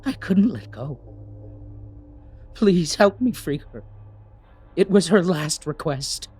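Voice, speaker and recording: A tormented captive cultist in a fantasy audio drama, drained and defeated. He pleads haltingly in a slow, husky voice, recorded very dry. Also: fairly high pitch, lively intonation, a little mellow, no room sound, faint music behind, exaggerated voice. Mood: desperate, pleading. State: drained, weary.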